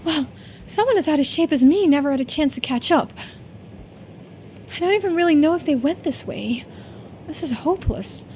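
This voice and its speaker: A woman's voice: high voice, lively intonation, moderate pace, long pauses, darkish tone, slight background noise. A woman voicing an anxious, self-doubting young woman in a visual novel game, talking to herself emotionally. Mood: uneasy, pondering. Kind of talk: dramatic voiced reading of visual novel game dialogue.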